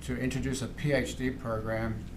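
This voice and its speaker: A man's voice: mid-range voice, flat delivery, moderate pace. A middle-aged man with an Australian accent giving an informal academic seminar talk as a visiting professor. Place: indoor university seminar room. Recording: echoey lecture room, clear background noise.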